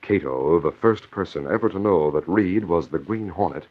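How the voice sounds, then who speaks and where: An actor voicing the dramatic narrator of an old-time radio crime serial. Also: male voice, sits low, normal speed. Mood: measured, suspenseful. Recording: dull lo-fi old radio recording.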